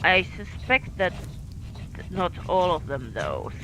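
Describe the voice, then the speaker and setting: A woman from Finland with a light Finnish accent, commentating her own live game stream, halting and filling gaps with fillers as she thinks aloud while playing. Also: on the low side, wildly swooping pitch, bright, forceful tone, rough recording. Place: home in France.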